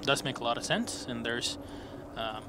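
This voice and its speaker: A man in his twenties doing play-by-play commentary on an esports match, excitable and quick to rise on big plays. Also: medium pitch, very noisy. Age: 20s. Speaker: esports caster. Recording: loud gunfire from the game behind. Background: game gunfire and effects.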